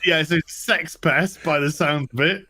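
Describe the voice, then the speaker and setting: A British man with a fairly high, bright and forceful voice co-hosts a review show. He recaps in a loose, rambling, conversational style, as if talking to a friend. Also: normal speed. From United Kingdom. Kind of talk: casual recap chat.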